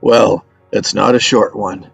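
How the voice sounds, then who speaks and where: A wry old father , acted by a man, with a gravelly voice, telling tall tales at an unhurried, deadpan pace. The sound is close and dry, with faint music underneath. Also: lowish voice, no echo, exaggerated voice. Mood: wry, teasing. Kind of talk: dramatic script table read.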